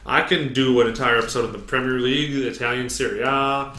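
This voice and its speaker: Young male podcast co-host, booming and jokey, echoey basement recording. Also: fairly even intonation, quick pace. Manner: loud, booming, joking. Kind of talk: casual sports podcast chat. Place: open basement. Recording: echoey room, faint noise.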